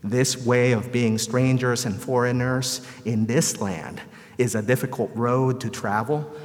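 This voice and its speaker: Man preaching in a long-reverb hall. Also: mid-range voice. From El Salvador. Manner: measured, rhetorical repetition and deliberate phrasing. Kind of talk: sermon. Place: large reverberant church or hall.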